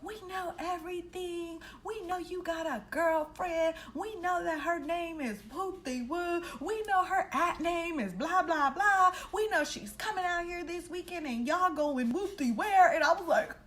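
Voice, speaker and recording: A woman casually telling a personal story in an animated, slangy, heavily profane way, acting out the dialogue as she goes. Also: very high-pitched, a little slow, few pauses, a little hiss or hum. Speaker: storytime vlogger.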